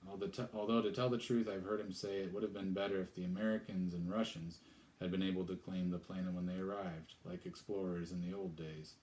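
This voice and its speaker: A man voicing a smooth, scheming political operator in a storybook reading. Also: sits low, steady tone, fairly quick, darkish tone, reverberant, lightly acted, rough recording.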